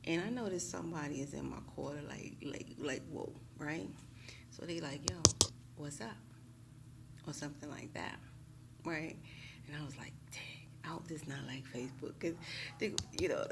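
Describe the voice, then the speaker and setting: An American woman talking casually and emphatically to her viewers in a slangy, animated storytime style, often checking in with them. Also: sits low, gravelly, background noise. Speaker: YouTube tarot reader.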